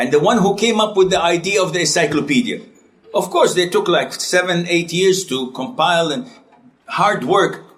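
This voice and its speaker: Animated high-voiced male religious teacher. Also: poor audio. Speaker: Islamic teacher. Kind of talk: lively classroom lecture.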